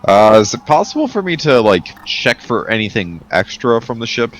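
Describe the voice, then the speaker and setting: A man's voice: mid-range voice, very animated, normal speed, poor audio. A man chatting casually as a player in a tabletop role-playing game.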